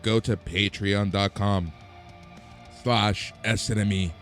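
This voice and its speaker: A man hosting a wrestling podcast in casual chat. His voice is almost gone, and he runs quickly through plugs for the network's shows. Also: lowish voice, steady tone, faint music behind. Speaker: podcast host.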